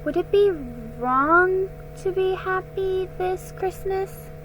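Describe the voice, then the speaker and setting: An anxious young girl from a storybook, put on by a woman reader. The voice is high and hesitant, earnest and wistful. Faint noise sits behind it. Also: expressive, slow, a bit bright, heavily acted, low-quality recording.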